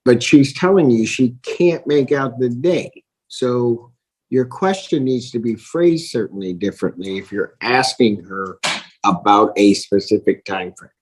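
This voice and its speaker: A man speaking as a judge, giving deliberate, authoritative instructions from the bench. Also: medium pitch, a little mellow, breathy edge, poor audio.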